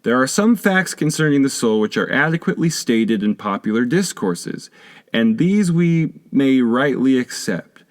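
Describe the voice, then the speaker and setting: A man narrating a philosophy audiobook in a measured, formal, lecturing manner. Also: medium pitch, lively intonation. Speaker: audiobook reader. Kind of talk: scripted narration of a philosophy text.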